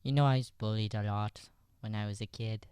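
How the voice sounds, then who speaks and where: A man's voice: on the low side, steady tone, a little fast, a little mellow, smooth, no room sound, clean studio sound, lightly acted. An actor playing an earnest, cautious Irish boy who stammers and repeats himself in an improvised radio comedy.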